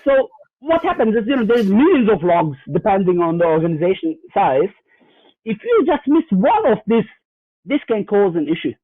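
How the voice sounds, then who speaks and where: A man's voice: sits high, big pitch swings, normal speed, no echo. A man from Germany, a guest cybersecurity executive in a fireside chat, explaining with examples in an earnest way full of hesitant fillers and restarts. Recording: tinny phone-like remote line, dry.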